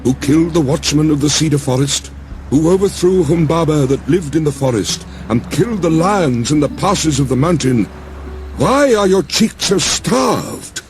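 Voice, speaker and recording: A man's voice: on the high side, expressive, moderate pace, pitched above the performer's own voice. A man voicing a wary, questioning divine woman who keeps wine, in a dramatized epic audiobook, with an insistent, wondering delivery. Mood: probing, challenging. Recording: music behind the voice.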